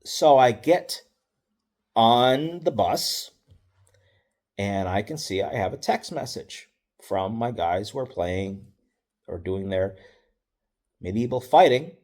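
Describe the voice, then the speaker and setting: A middle-aged man, a football coach, telling stories from past seasons in a relaxed, wry, matter-of-fact way, with fillers and self-corrections. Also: fairly low pitch, slow pace, long pauses. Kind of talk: casual storytelling.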